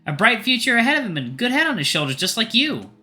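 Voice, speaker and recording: A man's voice: high-pitched, sing-song, fast, pushed and bright, soft background music, pitched above the performer's own voice, full character voice. A man voicing a chatty middle-aged mother in an audio story, nosy and coaxing, eager to play matchmaker. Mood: eager, insistent.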